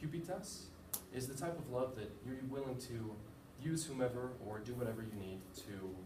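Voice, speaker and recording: A man's voice: mid-range voice, normal speed. Echoey, distant young man presenting. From United States. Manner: casual and self-deprecating, with fillers. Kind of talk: informative class presentation. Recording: echoey room, far from the mic, with background noise.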